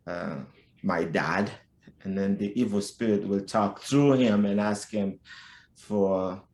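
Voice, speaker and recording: A middle-aged Haitian-accented man speaks slowly and haltingly, often restarting his sentences. His voice sits fairly low. The sound carries a noticeable room echo. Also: a little mellow. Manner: slow, halting, many restarts and fillers. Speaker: podcast guest. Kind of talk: personal life story in conversation.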